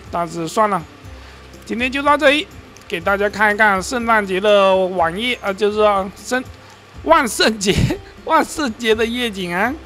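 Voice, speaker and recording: A man's voice: high voice, bright, forceful tone. A man hosting a casual screen-recorded game video, rambling, often correcting himself, and sounding mildly exasperated. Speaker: gaming and crypto-mining video host. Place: voice-over on his computer screen recording.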